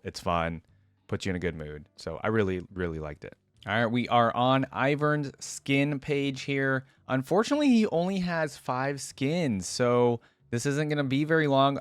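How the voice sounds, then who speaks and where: A man's voice: mid-range voice, smooth, dry recording. A man hosting a reaction video with casual, informal commentary, thinking aloud as he goes.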